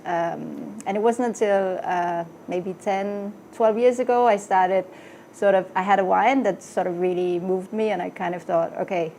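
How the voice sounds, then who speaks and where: A woman's voice: on the low side, gravelly, slight background noise. A woman in her forties from Denmark, a restaurant wine buyer, introducing herself on a podcast in a hesitant way full of ums. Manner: hesitant, many ums, laughs softly.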